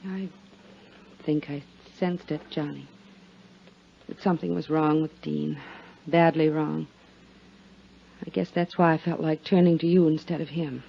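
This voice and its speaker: A young femme fatale in an old radio crime serial. Her voice is on the low side, with pointed, dramatic phrasing, on a dull and faintly noisy vintage recording. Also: fairly even intonation, unhurried, creaky, pauses often. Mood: wistful, tearful. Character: scheming young femme fatale, cool and manipulative. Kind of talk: 1950s radio drama acting.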